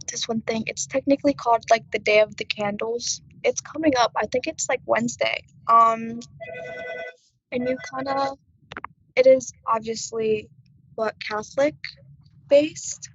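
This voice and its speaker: Teen girl from colombia, husky, somewhat high, casual and halting, muffled. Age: teens. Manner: casual, hesitant with fillers. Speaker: student guest. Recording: muffled lo-fi recording.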